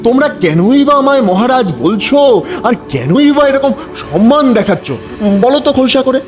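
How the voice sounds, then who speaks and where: A man's voice: extremely high pitch, very animated, moderate pace. A man voicing a bewildered, anxious new king in a cartoon, flustered and repeating his words. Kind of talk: cartoon voice acting.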